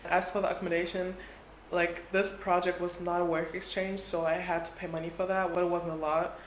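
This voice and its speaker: Young male travel vlogger, high and fairly flat delivery, echoey room, faint background hum. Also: a little fast. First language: Portuguese is his second language. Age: 20s. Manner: chatty, heavy use of "like". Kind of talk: casual travel vlog.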